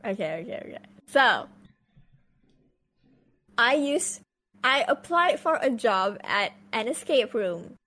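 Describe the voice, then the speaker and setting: A woman's voice: a little high, big pitch swings, slow pace, long pauses, fairly bright tone. A young adult woman, a guest on a live stream, chatting casually and swearing as she tells a story.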